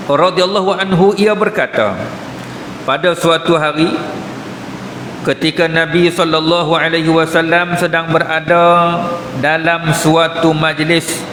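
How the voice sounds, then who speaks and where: A reverberant hall recording with clear background noise carries a man's fairly high voice. He speaks with a Kedah Malay accent, teaching religion in a colloquial, explanatory way and dropping in short questions to his listeners. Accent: northern Malay, Kedah. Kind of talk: mosque lecture explaining a religious text. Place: mosque hall.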